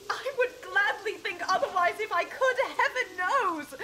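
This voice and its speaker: A young woman acts a heartsick but resolute Victorian fiancée in a radio play. Her voice is high and earnest, with measured, formal phrasing. A noisy bed of ambience sits behind her. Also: sharp tone, heavily acted. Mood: sorrowful, firm. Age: teenage. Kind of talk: radio drama acting.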